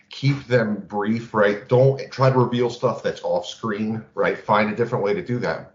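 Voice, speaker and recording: A man co-hosting a podcast, casually discussing tabletop games as a game master and often checking in with "right?". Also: lowish voice. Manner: peppers talk with "right?" check-ins. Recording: dull lo-fi, roomy echo.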